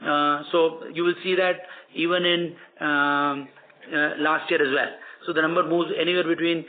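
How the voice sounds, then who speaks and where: Over a narrow, phone-like conference line, an Indian-accented man speaks in a slightly high voice. He delivers financial results like a company executive, formal and measured, often hesitating between phrases. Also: little pitch movement. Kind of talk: prepared earnings call commentary. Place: conference call.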